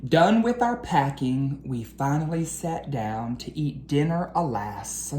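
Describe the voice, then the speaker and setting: A woman reading a storybook aloud to children in an expressive, deliberate, sing-song way. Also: deep voice. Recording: roomy echo with faint background noise.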